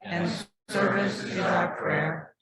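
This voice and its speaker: A worship leader reciting a church covenant in a measured voice, joined by the whole congregation. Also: mid-range voice, steady tone, a loud crowd, low-quality recording. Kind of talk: unison liturgical reading. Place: church sanctuary. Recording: congregation reading along loudly.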